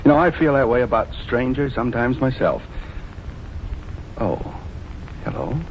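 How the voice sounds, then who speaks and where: Actor as a nervous young stranger, muffled old radio. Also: male voice, mid-range voice, animated, normal speed, close to an everyday voice. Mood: ingratiating, nervous. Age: young adult. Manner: hesitant, apologetic, over-explaining. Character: nervous, shifty, polite young male stranger seeking lodging. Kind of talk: 1940s radio drama acting. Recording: muffled, noisy vintage radio recording.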